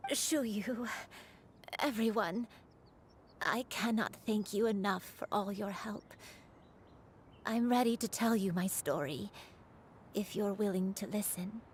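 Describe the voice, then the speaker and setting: A woman voicing a gentle, guilt-burdened old grandmother in a video game, speaking tenderly and formally like a storyteller. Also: medium pitch, slow pace, long pauses, slight background noise, close to an everyday voice. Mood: solemn, resolved. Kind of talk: dramatic video game voice acting.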